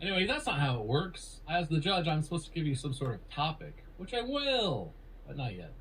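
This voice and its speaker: Male podcast host with a high, lively, sarcastic, rambling delivery. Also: a little fast, slightly rough, poor audio. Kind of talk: comedic podcast banter.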